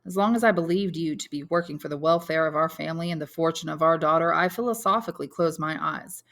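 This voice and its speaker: A woman's voice: low-pitched, quick pace, fairly bright tone. A woman reading an audiobook, voicing a bitter banker husband in a formal, sardonic, pointed manner. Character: bitter, sarcastic banker husband writing farewell letter.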